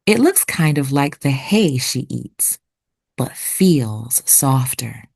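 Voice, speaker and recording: A woman narrating for children like a teacher, with deliberate, expressive enunciation. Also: rumbling low, gravelly, husky. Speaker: read-aloud host and narrator. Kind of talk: children's picture book read-aloud with vocabulary teaching.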